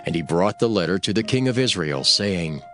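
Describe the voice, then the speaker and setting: Male narrator, low register, lively intonation, dramatized scripture, faint music underneath. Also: a little fast.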